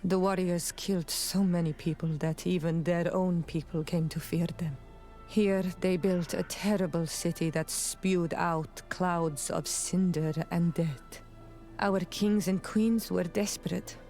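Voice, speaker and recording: A woman's voice: on the low side, steady tone, a little slow, dark tone, soft background music. An actress playing a proud, loyal young warrior woman of a tribe in a video game cutscene, with solemn, formal and intense phrasing. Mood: solemn storytelling.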